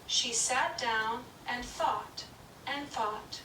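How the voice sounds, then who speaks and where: A woman storyteller narrating a puppet show story in a gentle, measured reading. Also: a little high. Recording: distant, roomy, noisy room.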